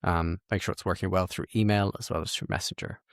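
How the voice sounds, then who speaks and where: A man hosting a casual software tutorial, thinking aloud and correcting himself as he works through the screen in an informal way. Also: low voice, fairly even intonation, quick pace, dry recording, studio quality.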